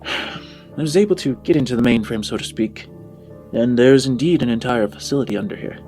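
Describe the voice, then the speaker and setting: A man playing a fussy, conspiratorial undercover partner in a tabletop game, talking haltingly and discreetly. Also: mid-range voice, lively intonation, a little mellow, faint music behind, natural voice. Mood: discreet, uneasy.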